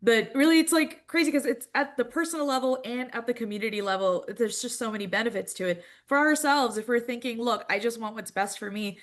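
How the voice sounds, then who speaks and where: A woman's voice: fairly high pitch, brisk, few pauses. A woman from India speaking as a panelist in a webinar, conversational, with frequent "like". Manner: conversational, uses "like" often.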